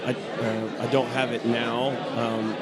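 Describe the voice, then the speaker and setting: A man's voice: medium pitch, flat delivery, takes it slowly. A man hosting a soccer podcast, chatting casually with a guest and often restarting his words. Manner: friendly, stammering restarts. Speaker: podcast host. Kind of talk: casual interview. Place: busy bar at a conference. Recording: loud bar crowd and music. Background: loud crowd chatter and music.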